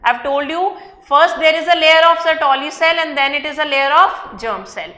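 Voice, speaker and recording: Young indian lady teacher, fairly high bright voice, keeps saying okay, right. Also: moderate pace. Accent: Indian English. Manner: explains step by step with frequent checks like okay and right. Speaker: biology teacher. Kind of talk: online class lecture.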